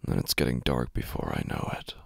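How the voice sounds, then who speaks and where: Very deep gravelly man narrating horror. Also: lively intonation, a little fast, no echo, studio quality. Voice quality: gravelly, husky, breathy at times. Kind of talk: dramatic first-person horror narration.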